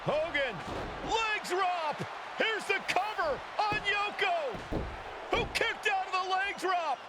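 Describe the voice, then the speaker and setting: A man calling play-by-play as an excited wrestling commentator. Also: extremely high pitch, slow, heavy background noise. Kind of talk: excited live sports commentary. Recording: loud arena crowd and music behind. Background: roaring crowd, music, slams.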